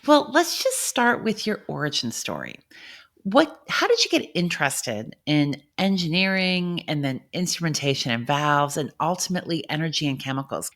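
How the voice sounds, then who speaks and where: Low-voiced woman podcast host. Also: a bit bright. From grew up on a farm. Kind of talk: interview hosting.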